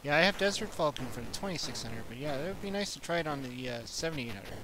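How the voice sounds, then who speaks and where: A man's voice: a little high, animated, fast, noisy background. A Canadian man with a Canadian accent, hosting a retro gaming stream in casual, rambling chat. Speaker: retro gaming channel host.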